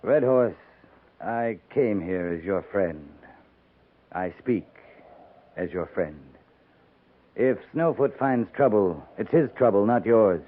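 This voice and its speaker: An actor playing a firm, plain-spoken frontier cavalry captain in a 1950s radio western, terse and grave. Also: male voice, on the low side, expressive, slow, pauses often. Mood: stern. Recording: narrow tinny 1950s radio recording.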